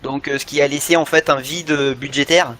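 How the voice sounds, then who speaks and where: Casual male podcaster, voice a little high, halting and repetitive delivery. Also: fairly even intonation, fairly bright tone, a little hiss or hum. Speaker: podcast co-host.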